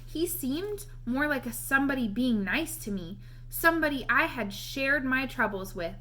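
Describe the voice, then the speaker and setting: A woman reading a children's novel aloud as an expressive storyteller. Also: high-pitched, normal speed, a little hiss or hum. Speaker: read-aloud reader.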